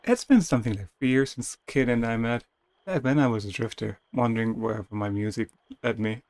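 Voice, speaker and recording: A man's voice: medium pitch, a little fast, darkish tone, no room sound. A man reading a video game's story aloud as a dramatic narration, with playful casual asides. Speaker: video game streamer reading game text aloud.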